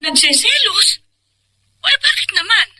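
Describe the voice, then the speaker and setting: A woman's voice: very high-pitched, sing-song, measured pace, sharp tone, a little hiss or hum, heavily acted. A woman with a Filipino accent playing a caring older mother who consoles and counsels in a radio drama. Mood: concerned. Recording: music bed underneath.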